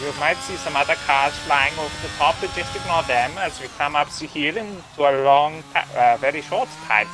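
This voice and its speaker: A man's voice: a little high, normal speed, few pauses, background noise. Laughing man commentating on a sim race. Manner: joking, laughs often, rambling with fillers. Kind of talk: sim racing commentary, casual banter.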